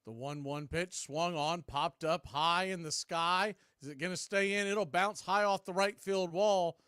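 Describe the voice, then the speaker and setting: A man's voice: high-pitched, fairly even intonation, unhurried, a bit bright, clean tone, dry recording, clean studio sound. A man giving live baseball play-by-play commentary as the announcer.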